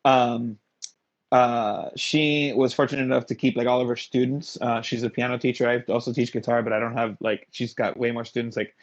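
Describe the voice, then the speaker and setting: A man from Miami with a fry-heavy voice talks casually as a musician guest. His talk is slangy and emphatic, and the recording is dull and lo-fi. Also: mid-range voice, flat delivery, a little fast. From Miami, Florida, United States. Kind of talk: casual chat. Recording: dull, lo-fi voice pickup.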